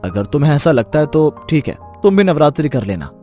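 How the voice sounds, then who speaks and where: A gentle young husband, voiced by a man, reasons calmly in a fairly high, lively voice. Soft music plays underneath. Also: brisk. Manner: calm, persuasive. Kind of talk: animated moral story dubbing. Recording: music bed behind voice.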